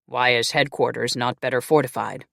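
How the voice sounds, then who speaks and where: Narrator doing a stern unbending warrior guy, firm low voice, dry sound. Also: steady tone, moderate pace, gravelly, sharp tone, dry recording.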